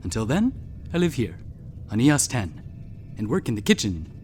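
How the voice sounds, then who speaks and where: A man's voice: on the low side, sing-song, moderate pace, a little mellow, faint music behind. A man playing a pompous deposed alien prince in a sci-fi comedy audio drama, speaking with formal, theatrical grandeur. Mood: matter-of-fact.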